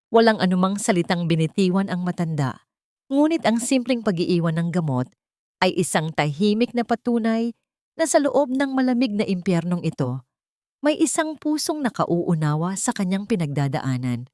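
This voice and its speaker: Female audio drama narrator: solemn scripted delivery, slightly deep voice, dry studio-like sound. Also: darkish tone, no echo.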